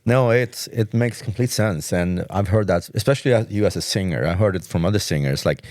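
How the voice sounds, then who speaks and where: A man's voice: fairly low pitch, fairly quick, darkish tone, dry recording. A man co-hosting a casual interview, offering short agreements and supportive comments. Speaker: co-host.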